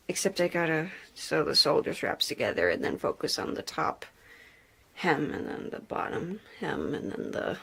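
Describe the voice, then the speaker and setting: A woman's voice: low-pitched, gravelly, fairly bright tone, breathy edge. A woman chatting casually as she hosts a sewing vlog, thinking aloud in a rambling, self-deprecating way. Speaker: video host and hobby historical seamstress.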